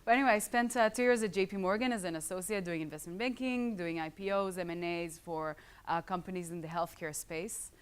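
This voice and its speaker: Smooth-voiced Israeli woman speaking to a crowd. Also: lowish voice, fairly quick, hardly any pauses. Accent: Israeli, with some English schooling. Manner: chatty, joking asides to the audience. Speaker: startup founder presenting to students. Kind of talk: casual talk to a live audience.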